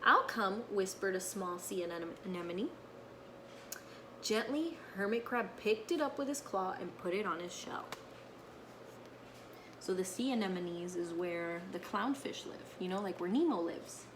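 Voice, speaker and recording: A woman teacher talking casually to her young pupils and reading a picture book aloud, often checking in with a quick okay or right. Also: mid-range voice, expressive, background noise. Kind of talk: casual read-aloud with explanations to children.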